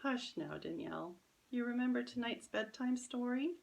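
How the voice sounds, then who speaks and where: Woman reading as a gently creaky kind old great-aunt. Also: a little high, animated, moderate pace, voice pushed up. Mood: soothing. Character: kindly, whimsical old great-aunt soothing children. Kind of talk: children's storybook character voice.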